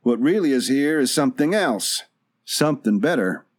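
A man voicing a calm, courteous stranger in an audiobook. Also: medium pitch, moderate pace, no room sound, pitched above the performer's own voice. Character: calm, courteous male stranger, mysterious drifter.

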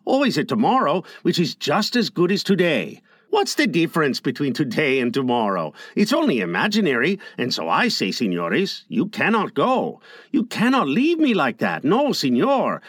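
A man's voice: fairly high pitch, a bit bright, no room sound, studio quality, pitched above the performer's own voice, full character voice. A man voicing a grateful village father who talks in elaborate, flowery speeches.

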